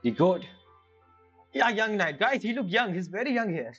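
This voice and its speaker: A woman's voice: medium pitch, sing-song, moderate pace, dull audio, faint music behind. A woman giving casual reaction commentary as she plays a game, muttering short comments. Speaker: gamer playing through a story quest.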